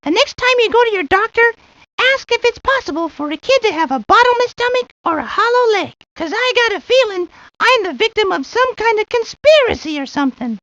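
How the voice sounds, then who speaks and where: A woman's voice: very high-pitched, big pitch swings, brisk, fairly bright tone, dry recording, heavily acted. A woman voicing an earnest, chatty, always-hungry little boy in scripted lines for a video game. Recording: dull, lo-fi 1990s game audio.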